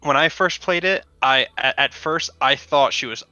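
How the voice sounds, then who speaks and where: A man's voice: medium pitch, moderate pace, pushed and bright, no echo. A young man co-hosting a casual gaming podcast, rambling through ideas with frequent restarts and fillers. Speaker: podcast co-host. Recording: dull lo-fi sound with faint music.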